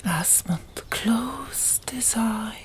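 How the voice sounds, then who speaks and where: A woman narrating an audiobook in a breathy ASMR whisper, hushed and deliberate, pausing between short phrases. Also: fairly high pitch, expressive, a little hiss or hum.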